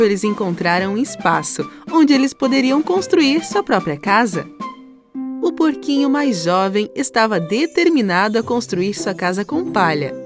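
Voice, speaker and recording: A woman with a Brazilian accent narrating a scripted children's story. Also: fairly high pitch. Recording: music under the voice.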